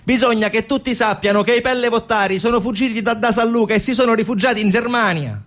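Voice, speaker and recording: A man delivering a theatrical narrative monologue as a stage narrator, with deliberate, rhetorical phrasing. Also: extremely high pitch, fairly bright tone, faint music behind.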